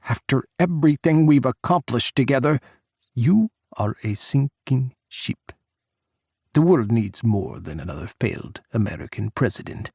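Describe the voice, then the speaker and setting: A man voicing an arrogant Russian president, rough and grating, with a Russian accent and a condescending manner. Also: mid-range voice, animated, measured pace, a little mellow, no echo, clean studio sound, exaggerated voice. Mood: scornful. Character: arrogant Russian male president, gruff and dismissive.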